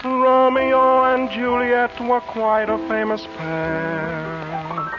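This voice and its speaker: Slow smooth crooner over a loud band, muffled vintage recording. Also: male voice, high-pitched, level tone, music in the background. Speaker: singer. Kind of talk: crooning a novelty song.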